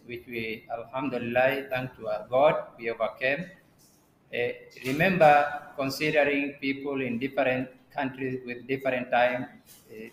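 Kenyan man presenting in an echoey room. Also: medium pitch. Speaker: event presenter. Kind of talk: informal presentation speech. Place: large classroom with iron roof. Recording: echoey classroom with background noise.

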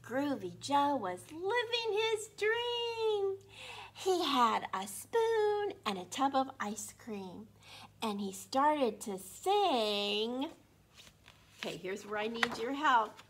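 A woman in her sixties with a slightly froggy throat, hosting an interactive read-aloud for young children in an animated, encouraging way. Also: high voice, slow pace, breathy edge. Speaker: children's storytime host at a library. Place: small indoor room. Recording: constant room noise.

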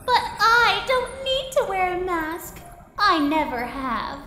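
A woman voicing a gleefully sadistic animated villainess, theatrical and taunting, with drawn-out menace. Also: extremely high pitch, full character voice. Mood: cold contempt. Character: gleefully sadistic female villain, embodiment of evil. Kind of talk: animated villain voice acting reel. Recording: echoey voice under loud music. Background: loud dramatic music.

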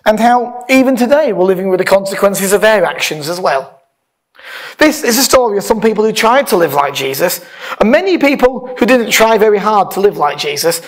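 A man, a pastor giving an informal, conversational sermon from a church stage with emphatic stresses. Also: high-pitched. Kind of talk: informal sermon, partly reading aloud. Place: church hall, speaking from a lectern on stage.

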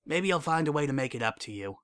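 A man voicing a grateful young female pony musician, speaking softly, in a dry recording. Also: on the high side, lively intonation, fast talker, dry recording, voice pushed up. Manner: soft, grateful.

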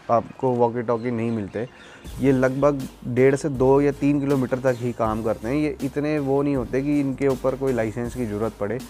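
A man's voice: mid-range voice, a little mellow, a little hiss or hum. Young man, casual reviewer. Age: young adult. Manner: conversational, explanatory, mixes in English words. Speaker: product reviewer and vlogger. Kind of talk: casual product review to camera. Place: outdoors, terrace and courtyard.

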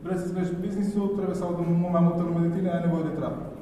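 A very reverberant, distant recording of a man speaking at a conference. His voice is somewhat high and mellow, and he talks informally to the room as a presenter. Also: little pitch movement. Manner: informal, addresses audience with rhetorical questions. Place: large hotel ballroom, on stage before an audience. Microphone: handheld microphone. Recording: echoey hall from far off, faint noise.

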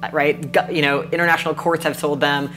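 A woman's voice: deep voice, flat delivery, brisk, fairly bright tone. A young woman activist explaining her position in an emphatic interview style. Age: young adult. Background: music.

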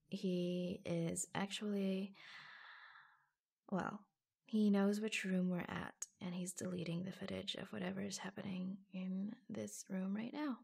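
A woman acts out an affectionate secret girlfriend from the office in an intimate ASMR roleplay. She speaks slowly and softly, with a breathy, husky tone and noticeable vocal fry. Her delivery is hushed, hesitant and confiding, as she gently reassures her lover. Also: medium pitch, full character voice. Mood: confiding, reassuring. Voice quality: soft, breathy. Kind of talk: intimate ASMR roleplay, soft-spoken and often whispered.

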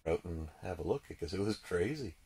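Guy doing casual fishing commentary, real raspy and creaky, kinda muttering, repeats himself a lot. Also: low voice, expressive, brisk, darkish tone. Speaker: ice angler demonstrating a fish finder. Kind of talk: excited casual running commentary while fishing.

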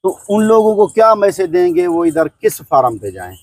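High-pitched man hosting outdoors. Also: very animated, brisk, breathy edge, no echo, soft background music. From Pakistan. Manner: friendly, polite, repeats "my brother". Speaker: goat farm owner and host. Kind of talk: casual interview. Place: outdoor goat farm.

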